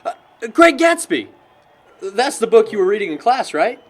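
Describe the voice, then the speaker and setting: A man plays a sarcastic young American guy in a naturalistic indie drama. His voice is fairly high and swings a lot in pitch, with a wry, bookish edge among friends. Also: big pitch swings, moderate pace. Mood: exasperated. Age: young adult. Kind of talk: naturalistic amateur film dialogue.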